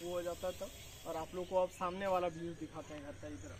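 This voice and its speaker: A man's voice: on the high side, fairly even intonation, brisk, noisy background. A young man giving a casual, chatty house tour as he walks through the rooms and talks to whoever is filming him. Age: young adult. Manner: chatty, walks while talking to a filming sister. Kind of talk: casual home tour vlog. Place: small concrete house, bare rooms and rooftop. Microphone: camera held by someone else, a few steps away. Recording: echoey bare rooms, distant camera mic.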